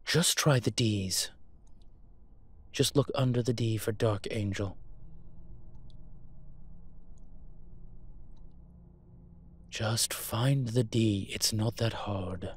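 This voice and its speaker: A man playing a suave, teasing demon professor in an audio roleplay, with a wry, conversational delivery and a husky voice. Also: fairly low pitch, slow. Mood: amused, coaxing. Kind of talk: intimate audio roleplay acting.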